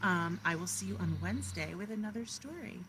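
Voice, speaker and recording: A woman's voice: medium pitch, lively intonation, fairly quick. A woman kindergarten teacher reading a story aloud outdoors and talking encouragingly to children, often asking them questions. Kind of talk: children's read-aloud with asides to young listeners. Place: outdoors in a backyard. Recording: clear outdoor background noise. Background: outdoor noise.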